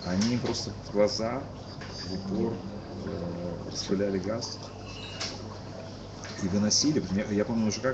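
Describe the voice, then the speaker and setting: A tired man in his thirties from Kyiv giving a casual, halting eyewitness account as an interviewee, repeating and restarting his words. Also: on the low side. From Kyiv, Ukraine. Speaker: interviewee, musician. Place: cafe-like booth indoors. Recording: muffled lo-fi webcast, loud background noise.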